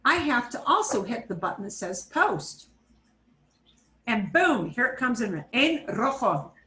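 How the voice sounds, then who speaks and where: A woman teaching a Spanish class over a video call, switching between Spanish and English and repeating phrases slowly. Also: fairly high pitch, big pitch swings, pushed and bright, roomy sound, slight background noise. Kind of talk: online class instruction.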